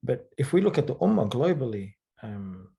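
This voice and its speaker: A man from the UK, speaking thoughtfully in a conversational interview, often pausing with fillers and checking in with "right?". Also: mid-range voice, very animated, vocal fry, warm tone. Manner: thoughtful, hesitant, with frequent fillers and rhetorical "right?". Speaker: author and guest.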